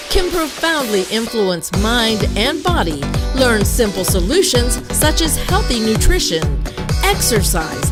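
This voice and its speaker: A woman reading a scripted show introduction as announcer, slow and drawn-out. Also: high voice. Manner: slow, drawn-out, expressive delivery. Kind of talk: scripted promo voice-over. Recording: voice-over with loud music behind.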